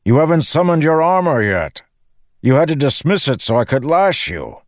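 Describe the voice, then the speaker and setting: Fantasy audiobook knight, performed by a man: armored, businesslike, raised shouting delivery, clipped and direct, dry recording. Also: a little high, very animated, moderate pace, dry recording, voice pushed up. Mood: impatient, businesslike.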